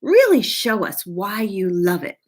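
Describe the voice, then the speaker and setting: A woman's voice: mid-range voice, big pitch swings, a little slow. A woman voicing an enthusiastic, encouraging school librarian who loves books, in a storybook reading. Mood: excited. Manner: encouraging, eager.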